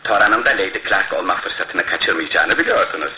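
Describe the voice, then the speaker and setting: An actor in a radio play as a shrewd, self-assured male detective who explains his case in a theatrical, deliberate way. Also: low-pitched, a little hiss or hum, close to an everyday voice. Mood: accusing, methodical. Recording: muffled, roomy radio drama recording.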